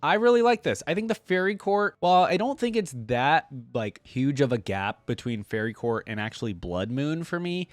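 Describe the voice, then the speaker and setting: A man's voice: a little high, very animated, normal speed, hardly any pauses, no echo. Casual male reaction host, very dry sound. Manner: informal, thinking aloud in hedged opinions. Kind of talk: casual reaction commentary with some reading aloud.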